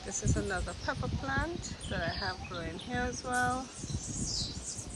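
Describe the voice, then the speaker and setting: A woman with a nasal, stuffed-up voice gives a slow, casual tour of her garden, naming plant after plant. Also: on the high side, expressive. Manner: slow, unhurried, pointing out plants one by one. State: nasal from a cold. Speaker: gardening vlogger. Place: outdoor garden. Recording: outdoor noise with birdsong. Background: birds singing.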